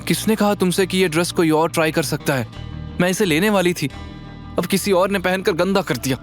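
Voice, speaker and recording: Audio drama role: entitled, hot-tempered French teen girl, played by a man, raised shouting pitch. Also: brisk, soft background music, lightly acted. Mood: angry, demanding. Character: spoiled, rude, furious nineteen-year-old French rich girl.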